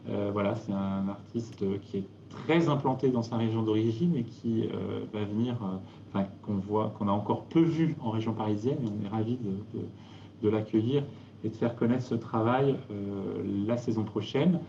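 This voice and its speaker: A man presenting a theatre season live, halting with many fillers and restarts. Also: on the low side, creaky, warm tone, slight background noise. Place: live video call. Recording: dull lo-fi roomy video-call audio.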